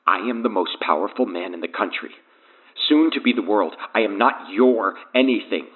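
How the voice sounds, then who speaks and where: An actor playing a powerful, coolly arrogant cult leader in an audio drama, with measured, taunting delivery and a deep, gravelly voice on a phone line. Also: male voice, lively intonation. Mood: irritated, imperious. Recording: thin narrow phone line.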